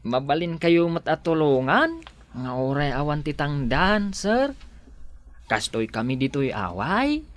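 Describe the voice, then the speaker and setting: Animated, slow-talking humble country man. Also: a little high. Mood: polite, eager. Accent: Ilocano. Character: humble, hardworking rural poor man, eager and deferential. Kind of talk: Ilocano radio drama acting.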